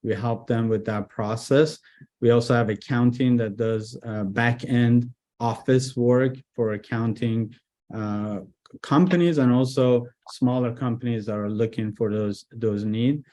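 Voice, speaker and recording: A man originally from Iran, speaking as a business guest in a casual interview, relaxed with frequent fillers and restarts. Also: medium pitch, measured pace. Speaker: guest, IT executive director.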